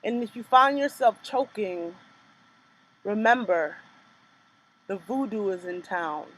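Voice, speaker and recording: A young woman performing her own spoken word poems in a rhythmic, measured delivery, with casual chatty asides between pieces. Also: medium pitch, lively intonation, poor audio. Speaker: poet performing her work. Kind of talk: spoken word poetry reading.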